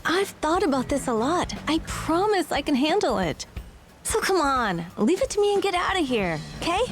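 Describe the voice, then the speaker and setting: A woman's voice: high-pitched, sing-song, moderate pace, soft background music. A woman voicing a confident, teasing and capable daughter in a video game. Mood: teasing reassurance. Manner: teasing, self-assured.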